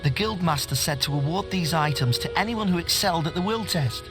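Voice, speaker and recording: An actor with a British accent playing a blunt, informal magic instructor in a video game. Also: male voice, on the high side, lively intonation, fairly quick. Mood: impressed. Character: male magic instructor, plain-spoken and impressed. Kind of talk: video game character acting. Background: loud music.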